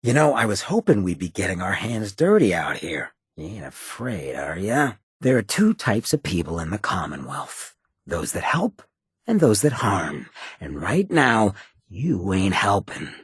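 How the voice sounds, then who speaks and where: A man playing a roguish, irreverent ghoul mayor in a video game, with a gravelly rasp and a sardonic, streetwise drawl. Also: lowish voice, big pitch swings, unhurried, dry recording, clean studio sound, heavily acted. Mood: cool, needling. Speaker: video game companion character. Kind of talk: video game companion dialogue, wry asides.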